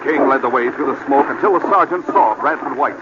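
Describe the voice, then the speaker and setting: Male narrator, medium pitch, dramatic scripted vintage radio delivery, noisy dull recording. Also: a little fast.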